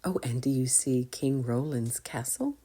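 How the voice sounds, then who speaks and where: A woman reading a children's story aloud in an expressive, deliberate way, as a storytime reader who addresses young listeners. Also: a bass voice, breathy edge.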